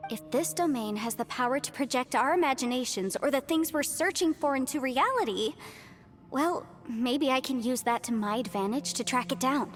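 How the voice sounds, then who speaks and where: Woman acting young female lawyer adventurer, high pitch, chatty, earnest, playful, articulate, video game style, clear background music. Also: moderate pace, natural voice. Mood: friendly, hopeful.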